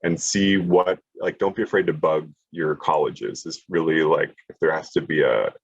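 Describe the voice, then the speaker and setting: Guy running a college prep zoom workshop, deep raspy voice, kinda muffled dry audio. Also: fairly even intonation, moderate pace, no echo. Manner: casual, lots of fillers and restarts. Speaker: college admissions presenter. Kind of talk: online workshop presentation.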